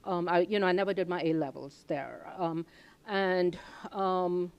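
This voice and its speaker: A woman's voice: lowish voice, unhurried, smooth, muffled recording. An older woman from India, a Hindi native speaker and professor, answering interview questions reflectively with many ums and uhs. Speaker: professor, guest.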